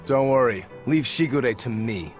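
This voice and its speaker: A man voicing a confident, easygoing young swordsman who speaks with calm self-assurance. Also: medium pitch, measured pace, soft background music, close to an everyday voice. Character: cheerful young male swordsman, confident and relaxed. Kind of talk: video game cutscene voice acting.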